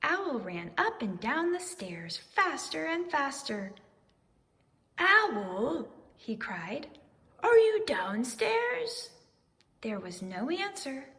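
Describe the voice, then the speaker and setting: A woman narrates a children's storybook with expressive, swooping intonation. Her delivery is slow and measured, with a creaky edge. Long reverb trails the voice. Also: sits high. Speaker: storybook reader. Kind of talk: expressive children's read-aloud.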